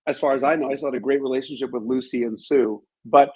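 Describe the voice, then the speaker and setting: A man's voice: medium pitch, fairly even intonation, quick pace, a little mellow, slightly rough. A man speaking as an author being interviewed, telling stories in a modest, reflective and conversational way. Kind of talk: conversational storytelling.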